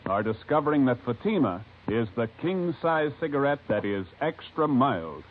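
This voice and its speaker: A man announcing in a formal, measured scripted style for a 1950s radio broadcast. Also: mid-range voice, very animated. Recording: muffled vintage radio broadcast.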